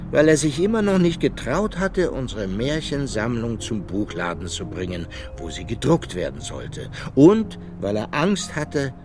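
Animated fairy-tale narrator. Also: male voice, mid-range voice, over music. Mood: storytelling. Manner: expressive, measured storytelling. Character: male storyteller telling of his scholar brother. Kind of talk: children's storybook narration.

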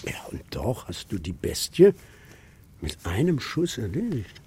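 An older man playing a gruff old herdsman and stubborn grandfather in a German children's radio play, with a gravelly voice and halting speech. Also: mid-range voice, wildly swooping pitch, warm tone, heavily acted. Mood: grateful wonder.

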